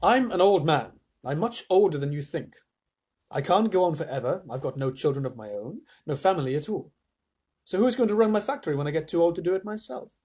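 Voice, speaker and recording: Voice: mid-range voice, expressive, brisk, a little mellow, slightly rough. A storyteller voicing an eccentric old male chocolate factory owner, emphatic and exclamatory, in a storybook reading. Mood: earnest.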